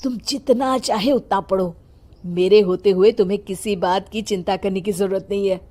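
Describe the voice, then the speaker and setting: A woman's voice: mid-range voice, steady tone, normal speed, warm tone, natural voice. A woman voicing a caring, firm middle-aged father in a Hindi cartoon story. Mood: firm, encouraging. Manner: firm, encouraging. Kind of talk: cartoon voice acting.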